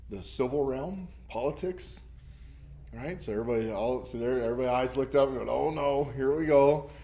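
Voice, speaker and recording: A man's voice: mid-range voice, darkish tone. A man with a scratchy voice leading a church Bible study, talking casually and rambling with many ums and restarts. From United States. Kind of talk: casual teaching to a small group. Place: church classroom. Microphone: camera recording.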